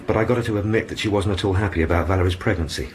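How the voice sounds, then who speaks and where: A man's voice: low-pitched, rapid-fire, a little mellow, a little hiss or hum, close to an everyday voice. A man playing an eager police sergeant. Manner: eager, deferential. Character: earnest, deferential police sergeant.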